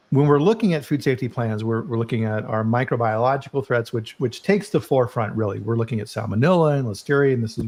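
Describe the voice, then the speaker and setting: A man's voice: medium pitch, a little mellow. A middle-aged man hosting a live food safety chat, casual and upbeat, fond of corny jokes. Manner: jokey, upbeat, chatty. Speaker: host.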